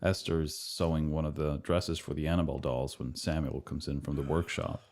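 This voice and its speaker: A man giving a director's commentary, explaining things in a measured, matter-of-fact way. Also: extremely low pitch, little pitch movement, darkish tone.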